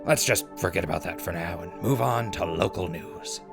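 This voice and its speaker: A man playing a wry post-apocalyptic radio host, reading scripted news in a folksy, measured, conversational way. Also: deep voice, vocal fry, over music. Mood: calm, newsy.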